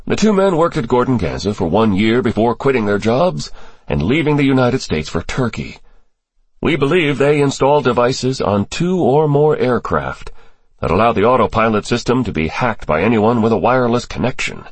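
A man voicing an assured male intelligence operative in an audiobook thriller, speaking in a measured, collegial way without hesitation. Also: mid-range voice, very animated, breathy edge, no room sound, pitched above the performer's own voice.

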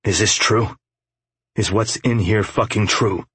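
Man playing furious young audiobook hero, defiant, snarling, low-pitched, gritty husk. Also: measured pace, a bit bright, husky, no echo. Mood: furious, hissing. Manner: snarling, hissing, demanding. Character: angry, battered young man, defiant and foul-mouthed.

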